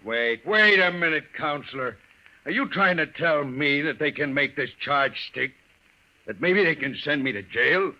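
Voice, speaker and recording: An actor playing a tough, suspicious big-shot gangster boss in an old radio crime drama, with slangy, domineering talk. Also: male voice, fairly high pitch, animated, unhurried, pushed and bright, heavily acted. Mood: indignant. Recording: muffled old radio recording.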